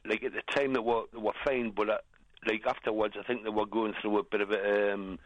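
A man's voice: on the low side, little pitch movement, fairly quick, dry recording, highs cut off. Hesitant male caller. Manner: hesitant, stammering restarts. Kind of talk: phone call to radio show.

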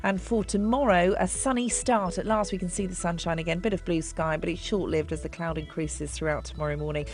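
A woman's voice: lowish voice, steady tone, brisk, hardly any pauses. A woman presenting a radio weather forecast in a chatty, informal way. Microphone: studio mic.

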